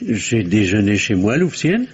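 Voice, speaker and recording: An actor playing a composed doctor being questioned by police, answering in a measured, slightly defensive way. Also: male voice, lowish voice, sing-song, a little hiss or hum. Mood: guarded. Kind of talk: 1950s radio drama acting. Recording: dull lo-fi archive radio recording.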